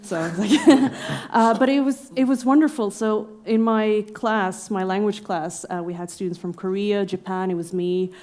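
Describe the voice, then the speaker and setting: A woman's voice: mid-range voice, steady tone, normal speed, few pauses, darkish tone. A young woman from Norway speaking in a casual podcast conversation, reflective and thoughtful, with frequent fillers and laughter. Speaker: exhibiting artist guest.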